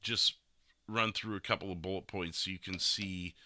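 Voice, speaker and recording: A man's voice: low-pitched, fairly even intonation, moderate pace, sharp tone, dry recording. A man hosting a casual podcast in a rambling, self-deprecating and joking manner. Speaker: podcast host and game streamer.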